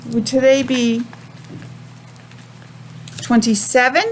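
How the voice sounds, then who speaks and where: An American woman teaching young children a simple lesson in a sing-song, questioning way with pauses. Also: fairly high pitch, flat delivery, slight background noise, low-quality recording. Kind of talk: children's calendar and weather lesson.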